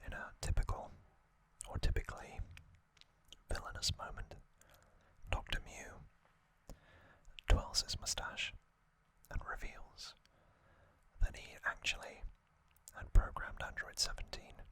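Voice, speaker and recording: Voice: slow pace, pauses often, pushed and bright, dry recording, rough recording. An ASMR host whispering a recap in a conversational, slightly hesitant way.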